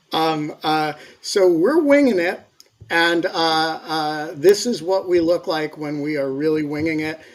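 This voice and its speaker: Podcast host, male, unhurried delivery, casual, joking, filler-heavy. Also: fairly high pitch. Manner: loose and joking, many fillers. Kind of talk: casual round-up chat. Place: studio.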